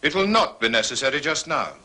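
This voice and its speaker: Dogged inspector, period mystery: rough-edged husky tone, forceful, animated intonation, methodical and formal. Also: male voice, medium pitch, brisk. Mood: official, probing. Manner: ponderous wording, methodical questioning. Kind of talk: period detective drama acting.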